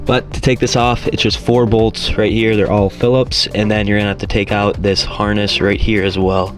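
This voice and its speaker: Low-pitched man giving casual how-to instructions. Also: flat delivery, moderate pace, music in the background. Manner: plain, informal instruction. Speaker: DIY car audio tutorial host. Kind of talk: casual step-by-step how-to narration.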